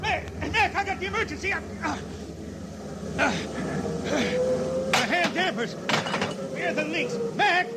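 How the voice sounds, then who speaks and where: A man playing a folksy, stubborn old blind spaceman in a 1950s radio drama, with halting speech. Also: lively intonation, exaggerated voice. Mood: frantic, urgent. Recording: noisy 1950s radio recording, loud rumble behind.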